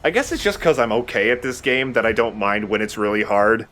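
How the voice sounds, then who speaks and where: A man's voice: on the low side, expressive, a little fast, fairly bright tone. A man giving casual gameplay commentary as a let's play host, with jokey, sweary banter. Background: video game sound.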